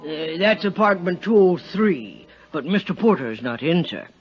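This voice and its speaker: Fussy, high-voiced lobby attendant. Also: male voice, big pitch swings, measured pace, soft background music. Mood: fussy, disapproving. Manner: prissy, hesitant, over-polite. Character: fussy, snobbish, deferential male apartment house attendant. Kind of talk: 1950s radio drama acting. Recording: dull 1950s radio recording.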